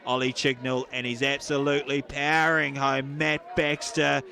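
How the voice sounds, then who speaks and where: A man calling a live track race, building excitement as the runners pull apart. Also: moderate pace, gravelly. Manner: excitable, rises in pitch and volume during the race. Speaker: athletics commentator. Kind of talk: live athletics commentary. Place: outdoor stadium.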